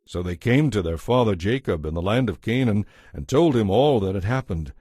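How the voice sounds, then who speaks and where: A man's voice: lowish voice, expressive, moderate pace, warm tone, slightly rough, no echo, clean studio sound. A man reading scripted narration as the narrator of a dramatized audio Bible.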